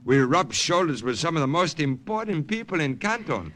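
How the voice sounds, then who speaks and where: A cynical young opportunist in a vintage radio drama. His voice is fairly high and forceful, with a breezy, defensive manner. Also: big pitch swings, normal speed. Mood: breezy, eager. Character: cynical, self-serving young brother. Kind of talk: old-time radio drama acting.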